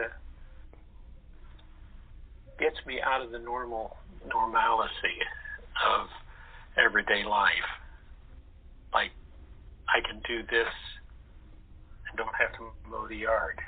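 A man chatting as an interview guest, halting as he searches for words. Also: fairly low pitch, steady tone, slightly rough. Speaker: radio play actor, guest. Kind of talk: casual interview chat. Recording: tinny narrow phone line.

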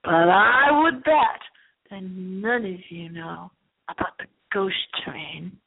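A woman's voice: mid-range voice, slow, vocal fry, breathy edge. A middle-aged woman hosting a late-night horror movie radio show, rambling theatrically and repeating her phrases. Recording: thin, phone-like lo-fi sound.

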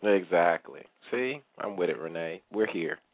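Deep husky joking man, dry tinny line. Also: lively intonation, normal speed, dry recording. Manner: teasing, goofy, playful interjections. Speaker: radio co-host. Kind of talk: joking banter. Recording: dry tinny phone-like sound.

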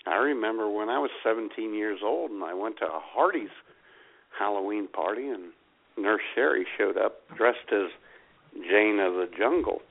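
A man's voice: fairly low pitch, expressive, moderate pace, creaky. A man co-hosting a radio show with casual comedic banter. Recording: tinny phone-like sound.

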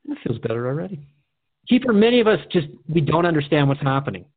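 A man's voice: a little high, very animated, fast. A man hosting a radio show in a conversational, earnest and reflective way. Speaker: radio host. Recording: dry, phone-like narrow band.

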